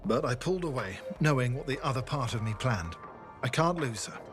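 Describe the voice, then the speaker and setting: A man's voice: medium pitch, expressive, fairly quick, over music, close to an everyday voice. Man playing a solemn, haunted spy. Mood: remorseful, earnest. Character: brainwashed spy, remorseful husband, grave and haunted. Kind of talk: video game cutscene acting.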